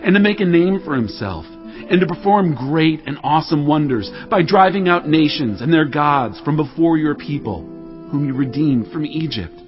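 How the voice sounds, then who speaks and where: A man's voice: on the high side, expressive, normal speed, natural voice. An actor playing a devout, fervent king of Israel in dramatized scripture, impassioned and declamatory, with music behind. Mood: reverent awe. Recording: muffled, band-limited audio.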